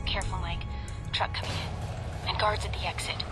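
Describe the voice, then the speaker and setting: A woman playing a cool, guarded female spy operative in a video game, with a crisp, dry delivery. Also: on the low side, flat delivery, moderate pace, over loud music, lightly acted. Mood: cautious.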